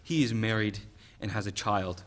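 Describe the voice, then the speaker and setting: A man's voice: fairly low pitch, moderate pace, a bit bright, natural voice. A young man playing an anxious but loyal condemned prisoner in a dramatic play reading, questioning and stammering. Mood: bewildered. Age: young adult.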